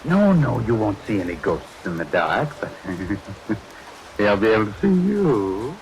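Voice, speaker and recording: A macabre horror host in vintage radio style. His voice swings widely in pitch, mock-polite and full of teasing relish. Rain and noise sit behind the old recording. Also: sing-song, unhurried. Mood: ghoulish glee. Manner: mock-courteous, teasing, droll. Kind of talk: 1940s radio horror hosting.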